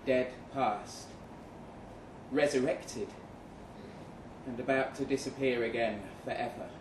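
A man reading a first-person short story aloud dramatically, with measured delivery and deliberate pauses. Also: medium pitch, fairly even intonation. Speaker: short story reader at a live event. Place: event room in a pub. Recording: roomy, distant mic with faint noise.

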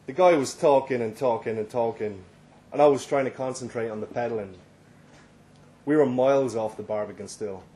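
An Irish man narrates a short story in the first person at a live reading. His pitch sits in the middle of the male range, and there is faint noise behind him. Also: brisk, warm tone. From Ireland. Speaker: story reader. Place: live reading at a London venue. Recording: live event recording, faint background noise.

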